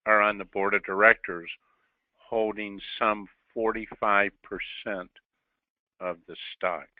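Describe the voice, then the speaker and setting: A male company executive answering investor questions on a telephone call, measured and pausing between short phrases. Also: lowish voice, dry recording. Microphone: telephone on a conference call. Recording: narrow dry telephone line.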